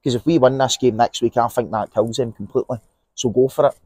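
A man's voice: mid-range voice, steady tone, quick pace, darkish tone, husky, dry recording. A young Scottish man giving casual, opinionated football talk outdoors in an empty stadium, on a lapel mic, with many fillers and restarts. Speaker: podcast co-host.

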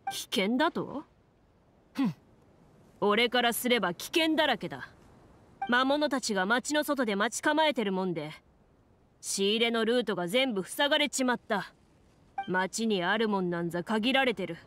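A woman voicing a short-tempered male merchant in a game, with rough, curt, scoffing speech. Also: fairly high pitch, lively intonation, normal speed, long pauses, fairly bright tone, a little hiss or hum. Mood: surly, irritated.

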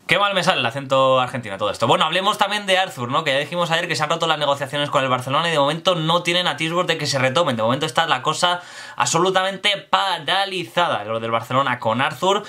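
A young man, talking to camera from his bedroom about football news in a chatty, energetic way. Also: fairly high pitch. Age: young adult. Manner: fast, energetic and chatty, addressing viewers directly. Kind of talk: casual news commentary to camera. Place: bedroom with posters.

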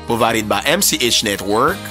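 A man announcing the title and credits of a learners' audio book in a slow, formal way. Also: medium pitch, animated, bright, forceful tone, faint music behind.

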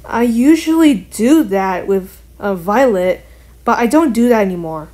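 A woman's voice: mid-range voice, expressive, measured pace, darkish tone, natural voice. A woman voicing an earnest, wary young bat heroine in an amateur cartoon, talking in a casual, hesitant way. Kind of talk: amateur animated voice acting.

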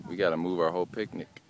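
Young guy narrating on his phone outside, laughy and swearing, lowish voice, faint wind hiss. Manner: joking, swearing, laughing a lot. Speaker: friend filming and narrating. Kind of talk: excited casual narration. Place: grassy mountain launch slope outdoors. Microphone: phone camera held by speaker. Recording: outdoor phone video with faint wind noise.

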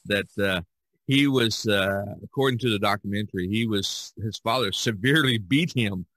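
An American man hosting a podcast in a conversational, halting and hesitant way. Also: fairly low pitch, fairly bright tone, no echo. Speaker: podcast host.

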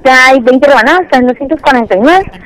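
A woman's voice: high voice, fast, a bit bright. A woman working at a pizzeria, taking a customer's order over the phone. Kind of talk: customer service phone conversation. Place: on a phone call. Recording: phone line through a show's speakers.

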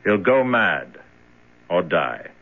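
An actor playing a calm, detached prison boss in a radio drama, terse and coolly knowing. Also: male voice, sits low, slow pace, heavily acted. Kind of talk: 1970s radio drama acting. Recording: thin, phone-like 1970s radio recording.

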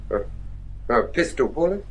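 An aging, grief-stricken judge in a gothic horror radio play. His husky voice swings widely in pitch, theatrical and halting, full of dread. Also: sing-song. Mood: alarmed. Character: grieving father, an anxious, haunted old judge.

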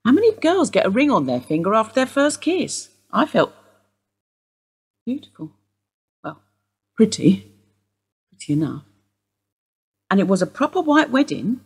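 An actress playing a sharp-tongued, bitter woman in her sixties, wry and sardonic in a radio play. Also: mid-range voice, breathy edge, exaggerated voice.

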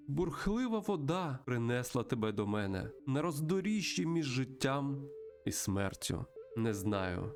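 Guy putting on a wise mystical spirit guide, solemn, slow drawn-out words, game music behind. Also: medium pitch. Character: solemn wise male spirit guide, last of his kind.

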